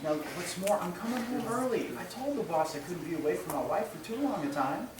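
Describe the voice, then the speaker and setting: A woman playing a breezy husband in a radio play, briskly and patronizingly reassuring a timid wife. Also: fairly low pitch, animated, close to an everyday voice. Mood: firm reassurance. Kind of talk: old-time radio play acting. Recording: roomy, distant mic, noticeable noise.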